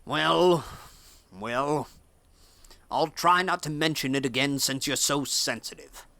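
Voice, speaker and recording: An actor playing a cranky, outspoken older farmer in a storybook dramatization, grumbling in a blunt, folksy manner. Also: male voice, on the high side, slow, gravelly, bright, forceful tone, exaggerated voice. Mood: grudging. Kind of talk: audiobook character acting.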